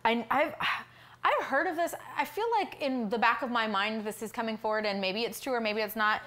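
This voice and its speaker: A woman's voice: fairly high pitch, brisk. Chatty woman cooking in a home kitchen. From South Florida. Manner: relaxed, thinking aloud with many ums while cooking and tasting. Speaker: cooking stream host. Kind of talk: casual cooking livestream chat.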